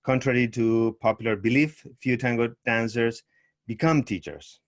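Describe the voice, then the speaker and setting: A middle-aged man speaks over a video call, at an ordinary mid pitch. He talks in a measured, thoughtful way, pausing often with fillers as he explains his teaching. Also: fairly even intonation. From Argentina. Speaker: tango teacher, guest. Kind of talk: online summit interview, presenting.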